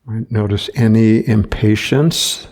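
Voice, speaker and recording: A man leading a guided meditation as a teacher, speaking gently and without hurry, leaving long pauses between short phrases. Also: on the low side, steady tone.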